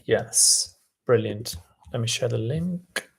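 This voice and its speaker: A man who is a native Italian speaker, coaching on a live-stream lesson in an unhurried way, with many ums and restarts. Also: mid-range voice, expressive, creaky, slightly rough. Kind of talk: live-stream lesson, reading chat aloud.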